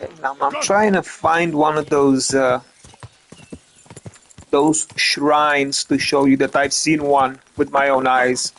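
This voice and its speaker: A man's voice: mid-range voice, fairly even intonation, slow, fairly bright tone, low-quality recording. A man from Romania, giving casual gameplay commentary as a streamer, rambling, stumbling over repeated words and swearing now and then. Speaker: gaming streamer.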